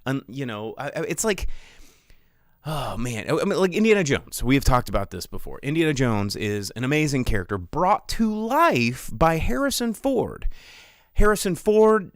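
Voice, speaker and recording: Clear-voiced man on a casual rant. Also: mid-range voice, very animated, moderate pace, dry recording, clean studio sound. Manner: rambling, emphatic, exasperated. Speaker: podcast host.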